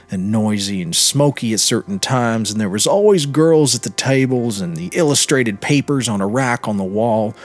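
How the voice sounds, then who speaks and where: A man narrating a literary short story in a measured, dramatic reading with deliberate pauses. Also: mid-range voice. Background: music.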